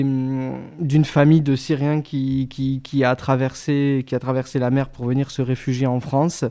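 A man's voice: medium pitch, mellow, clean tone. A man, an actor, describing his stage show in a radio interview, often restarting his sentences. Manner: halting, many restarts.

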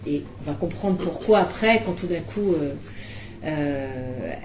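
A middle-aged woman, a film director, talking at a press conference, animated and restarting often. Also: deep voice, measured pace, echoey room, slight background noise. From Belgium.

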